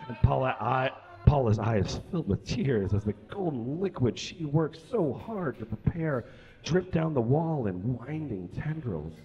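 Higher-voiced man giving muffled comic reading. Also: husky. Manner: theatrical, emphatic, with stumbles over words. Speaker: live reader on stage. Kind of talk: comic dramatic reading of fan fiction to an audience. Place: small indoor stage with curtains. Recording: slightly muffled live stage recording.

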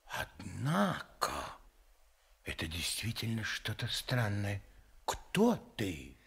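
A man's voice: fairly low pitch, huge pitch swings, takes it slowly, vocal fry, a bit bright, husky, exaggerated voice. An actor playing a haughty, curious giant king, pondering and exclaiming in a theatrical radio play. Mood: sceptical, amazed.

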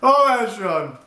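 A man's voice: high voice, sharp tone. A young man giving casual, slangy and exclamatory reaction commentary as a video host, recorded in a small bedroom. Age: young adult. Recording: roomy, echoey bedroom sound.

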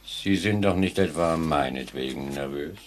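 An actor playing a sinister, laconic elevator operator in a 1940s-style radio play, speaking tersely and with calm menace. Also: male voice, a bass voice, normal speed. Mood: quietly menacing. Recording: noisy vintage radio drama recording.

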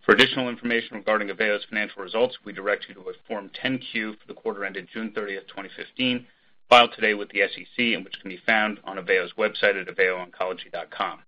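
A man's voice: lowish voice, fairly even intonation, fast. A man reading a formal scripted disclaimer as a company spokesman on an earnings call. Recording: dull, lo-fi conference call audio.

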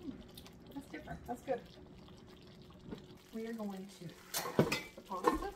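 A woman's voice: a little high, animated, measured pace. A woman chatting casually as she cooks at her home stove, thinking aloud and poking fun at herself. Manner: chatty, self-deprecating, thinking aloud while cooking. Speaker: home cooking vlogger. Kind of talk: casual cook-along narration. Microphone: camera overhead, speaker partly off frame. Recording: noisy home kitchen recording.

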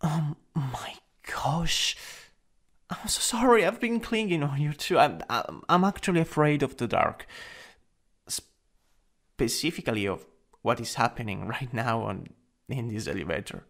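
An anxious, polite man played by a male ASMR actor in a boyfriend roleplay. His breathy delivery is slow and halting, with a gravelly creak. The pitch swings dramatically from line to line. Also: sing-song, fairly bright tone, full character voice. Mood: frightened, panicking. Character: anxious, polite office worker, a man afraid of the dark.

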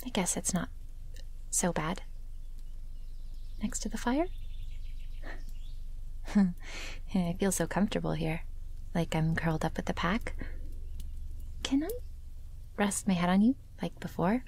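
A woman voicing a wary, possessive young female werewolf in an intimate ASMR roleplay, breathy and half-whispered, with halting, formal phrasing. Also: fairly low pitch, animated, a little mellow, slight background noise, heavily acted. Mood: warming, tender. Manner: halting, stiffly formal phrasing without contractions.

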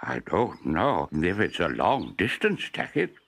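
A man's voice: low-pitched, sing-song, a little slow, fairly bright tone, husky, exaggerated voice. A man voicing a cheerful, absent-minded professor in a storybook, stammering and exclaiming as he goes. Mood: doubtful.

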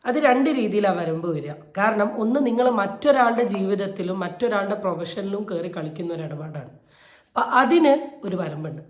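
A woman giving indignant, emphatic video commentary, repeating phrases to drive her points home. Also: mid-range voice. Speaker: YouTube commentator. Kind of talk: opinion commentary on a viral video. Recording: roomy, echoey voice recording.

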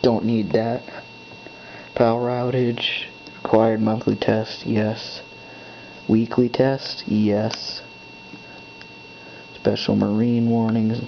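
Slow gravelly man muttering to himself. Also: fairly low pitch, flat delivery. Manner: muttering to himself while pressing buttons. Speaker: hobbyist setting up a device. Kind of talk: off-the-cuff thinking aloud. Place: indoors at a desk. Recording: dull lo-fi camera audio with faint hum.

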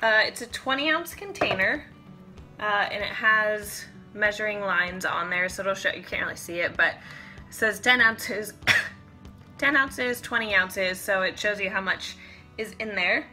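A woman's voice: mid-range voice, a little slow, sharp tone, soft background music. A woman in her thirties talking informally to camera as a fitness vlogger, chatty and thinking aloud as she demonstrates things in a home kitchen. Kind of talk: casual chat to camera, product demonstration.

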